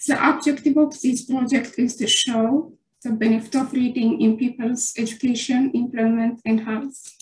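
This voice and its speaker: A woman from Ethiopia reading a prepared capstone presentation over a video call with careful, steady delivery. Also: on the high side, normal speed, few pauses, reverberant, rough recording. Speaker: bootcamp student presenting a capstone.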